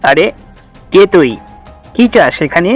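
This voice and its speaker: A man's voice: high-pitched, expressive, unhurried, soft background music. A man voicing a pompous, mocking, cackling evil sorcerer in a fairy-tale cartoon. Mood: mocking, menacing. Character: gloating wicked male sorcerer who usurps the throne.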